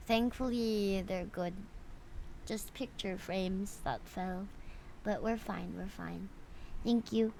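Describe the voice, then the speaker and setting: A woman's voice: on the high side, a little hiss or hum. A woman chatting casually on a game livestream, often addressing her viewers. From Philippines. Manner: chatty, frequently greeting viewers. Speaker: livestream host playing a story game. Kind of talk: casual livestream chat mixed with reading game dialogue aloud.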